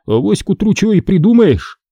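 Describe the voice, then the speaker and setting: Man acting a firm, sensible adviser, animated. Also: high voice, brisk, gravelly, breathy edge, no room sound, muffled recording, pitched above the performer's own voice, exaggerated voice. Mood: firm advice. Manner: firm, admonishing. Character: firm, sensible male adviser counselling patience. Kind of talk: dramatised historical audiobook.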